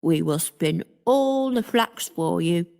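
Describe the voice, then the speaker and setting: A man's voice: sits high, expressive, slow pace, vocal fry, a little mellow, voice pushed up, full character voice. A storyteller voicing a strange, coaxing spinster woman in a children's fairy tale. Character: strange, bargaining spinster women with odd features.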